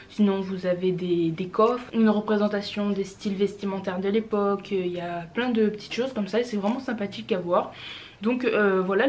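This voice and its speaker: A woman's voice: mid-range voice, darkish tone. A young woman giving a casual travel recap as a vlogger, chatty and informal, often hesitating and correcting herself. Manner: chatty, hesitant, many fillers and self-corrections; informal and a little crude.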